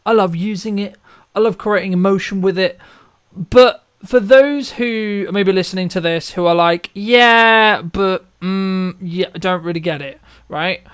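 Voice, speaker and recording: A man's voice: high-pitched, fairly bright tone, smooth. A man hosting a casual interview, conversational and wordy as he rephrases his questions. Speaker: interviewer, host and filmmaker.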